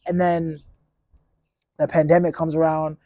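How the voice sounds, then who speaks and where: A man's voice: a little high, flat delivery, measured pace, no room sound, highs cut off. A man in his late twenties hosting a casual solo podcast outdoors on a clip-on mic, talking in a reflective, rambling, laid-back way. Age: 20s. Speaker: podcast host.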